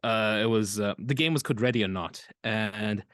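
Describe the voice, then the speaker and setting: Soft-spoken young New Zealand man. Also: on the low side, normal speed, no room sound, studio quality. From Auckland, New Zealand. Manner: hesitant, trailing off, quiet laughs. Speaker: voice actor guest. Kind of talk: casual interview chat.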